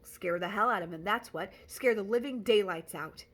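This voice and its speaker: Woman reading as a furious fireman guy, loud and worked up, rushes his words. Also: mid-range voice, close to an everyday voice. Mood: defiant. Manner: agitated, raised voice. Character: tormented grown man, a fireman, fierce.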